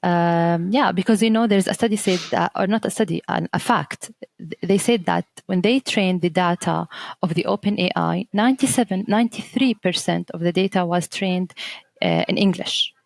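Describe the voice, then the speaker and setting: A lowish, gravelly female voice answers interview questions in English, her first language being Arabic. She talks hesitantly, with plenty of "uh"s and restarted phrases, heard close and dry. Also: moderate pace, no echo. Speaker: guest, teacher trainer.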